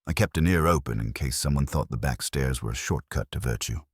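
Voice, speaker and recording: A man's voice: rumbling low, a little fast, breathy edge, no echo, voice pushed down. A man voicing a weary, grumbling older male caretaker in an audio story, dry, clipped and grudging. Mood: dry, sardonic.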